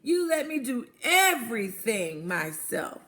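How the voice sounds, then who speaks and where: Storybook hen, played by a woman: female, put-upon and self-reliant, emphatic, measured delivery with some fry. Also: fairly high pitch, sing-song, a bit bright, full character voice. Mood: indignant. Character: hardworking, long-suffering little red hen, female. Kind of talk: storybook character voice for children.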